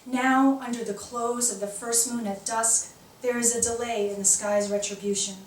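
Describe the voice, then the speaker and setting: A woman's voice: a little high, fairly even intonation, moderate pace. A woman poet reading her own work aloud at a live poetry reading. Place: indoor poetry reading, recorded from a distance. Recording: echoey room, distant mic, faint noise.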